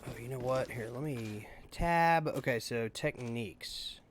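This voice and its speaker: A man's voice: medium pitch, a little slow, slight background noise. A man giving casual video game playthrough commentary, thinking aloud as he plays. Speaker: gaming video host.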